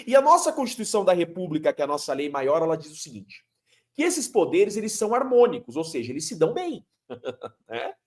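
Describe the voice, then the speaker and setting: A man's voice: a little high, very animated, brisk. A man, a lawyer explaining legal matters emphatically, posing rhetorical questions as he lectures. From Curitiba, Brazil. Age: 30s.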